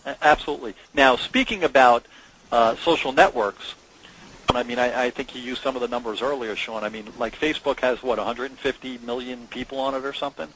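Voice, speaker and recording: Man hosting a pitch over noisy recording. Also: fairly quick, fairly bright tone. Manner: conversational, promotional. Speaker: company co-founder hosting a presentation. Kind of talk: conference call sales pitch. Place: conference call. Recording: clear background noise on conference call.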